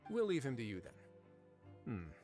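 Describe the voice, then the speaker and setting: An actor playing a composed male prison warden in a game, speaking in a calm, measured, lightly wry way. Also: medium pitch, faint music behind.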